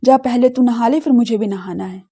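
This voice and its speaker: A middle-aged mother from a family audio drama, put on by a woman. She fusses in a homely, caring way. Her tone is mellow and fairly high. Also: brisk, husky. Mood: caring insistence. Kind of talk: audio drama character dialogue.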